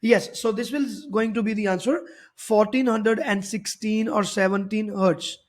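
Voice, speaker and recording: A man's voice: high-pitched, fairly even intonation, normal speed. A man teaching physics, explaining a worked problem step by step and often repeating and rephrasing his points.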